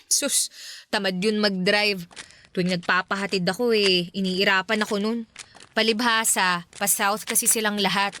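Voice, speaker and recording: An actress playing a young woman musician in a radio drama, speaking in a casual, expressive, naturalistic way over music. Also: mid-range voice, moderate pace, a little hiss or hum, lightly acted. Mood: friendly, casual. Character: young woman band musician, friendly, easily frightened. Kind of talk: radio drama dialogue in conversational Filipino.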